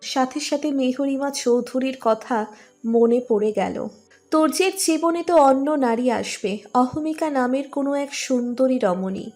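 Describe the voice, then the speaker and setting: Female narrator for scripted romance audiobook; high-pitched voice, storytelling delivery. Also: unhurried, a little mellow, faint music behind.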